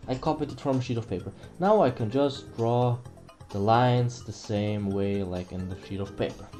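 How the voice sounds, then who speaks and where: A young man with a German accent talks through a drawing tutorial in a casual, rambling way, often correcting himself and tossing in mild swearing. His voice sits at an ordinary mid pitch, picked up by a computer mic in a small room at home, with faint music underneath. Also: moderate pace, mellow. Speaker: art tutorial host.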